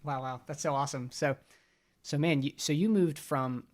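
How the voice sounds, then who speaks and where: A man's voice: a little high, moderate pace. A man hosting a casual podcast interview, upbeat and enthusiastic. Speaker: podcast host.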